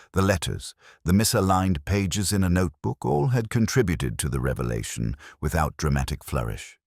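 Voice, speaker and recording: Very deep-voiced man narrating a detective story. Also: no room sound, studio quality. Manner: measured, reflective, solemn delivery.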